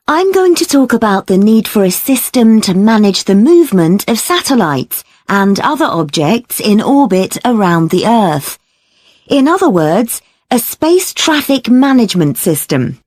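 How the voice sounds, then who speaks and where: Woman academic lecturer, measured and explanatory, animated intonation, dry studio sound. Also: a little high, no room sound.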